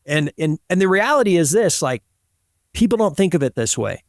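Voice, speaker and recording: Higher-pitched male podcast host, close dry sound. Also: sing-song, a little fast, no room sound. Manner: colloquial, emphatic, checks in with "right?" and "you know". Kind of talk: casual conversational interviewing.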